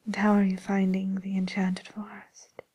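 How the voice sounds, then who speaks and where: A woman playing a polite, attentive receptionist at a fairy-tale dating agency in a soft, breathy, hesitant whisper, as an ASMR roleplay. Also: mid-range voice, monotone, moderate pace, natural voice.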